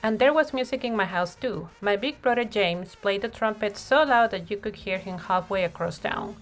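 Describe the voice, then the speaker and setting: A woman's voice: mid-range voice, moderate pace. Woman reading a storybook over music. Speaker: read-aloud narrator. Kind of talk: expressive picture-book storytelling read-aloud. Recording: music playing behind the voice.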